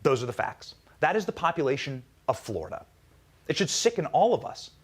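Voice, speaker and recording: High-pitched, animated man giving a political speech. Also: a little fast, husky.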